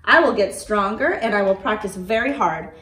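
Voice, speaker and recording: A woman's voice: mid-range voice, normal speed, a bit bright, natural voice. A woman voicing a tiny, earnest young T-Rex who speaks formally and politely, without contractions. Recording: roomy, from a distance.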